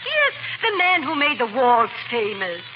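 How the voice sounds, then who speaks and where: An actress playing a haughty, fluttery society matron, affected and pompous, in a 1940s radio comedy. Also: female voice, high voice, wildly swooping pitch, unhurried, full character voice. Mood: gracious, haughty. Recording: muffled, noisy old radio recording.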